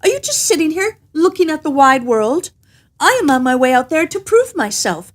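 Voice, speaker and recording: A woman's voice: sits high, sing-song, moderate pace, voice pushed up. A woman voicing a cheerful, boastful little male tailor in a storybook, with a jaunty, emphatic delivery.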